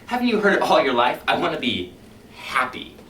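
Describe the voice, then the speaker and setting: A man performing a cynical middle-aged fire chief in a competition monologue, lecturing his firemen with sarcasm and swinging between loud and hushed delivery. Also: on the high side, very animated, a little fast, pitched above the performer's own voice. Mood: sardonic. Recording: echoey hall heard from far off, faint hiss.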